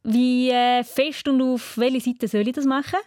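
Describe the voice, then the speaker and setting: High-voiced Swiss German woman hosting radio. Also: fairly even intonation, unhurried, a little mellow, no room sound, studio quality. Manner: encouraging, chatty. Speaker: radio quiz show host. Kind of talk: chatty children's radio quiz presenting.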